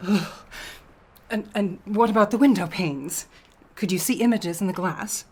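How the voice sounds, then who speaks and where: A woman's voice: medium pitch, moderate pace, a little mellow, breathy edge, lightly acted. An actress playing a rational, skeptical intellectual woman, wry and articulate, in a radio horror drama. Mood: skeptical, curious.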